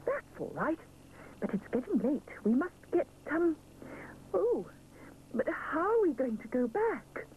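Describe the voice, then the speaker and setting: A woman voicing a brisk, sensible mother bear with a motherly manner. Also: on the high side, wildly swooping pitch, narrow, tinny audio, pitched above the performer's own voice. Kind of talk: storybook character voice. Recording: noisy background hiss.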